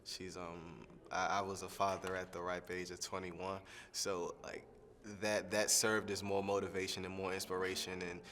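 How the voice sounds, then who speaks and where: A young man, a singing contestant, answering interview questions in a relaxed, rambling way with lots of fillers. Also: deep voice, normal speed, long reverb tail, slight background noise. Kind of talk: press junket soundbite interview.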